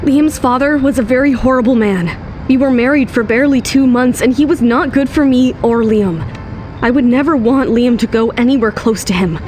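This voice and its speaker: A woman's voice: a little high, moderate pace, a little mellow, slight background noise, close to an everyday voice. A woman acting a guarded, protective young single mother in an audio drama, with an emotional, defensive manner. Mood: guarded, regretful. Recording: music under the voice. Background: background music.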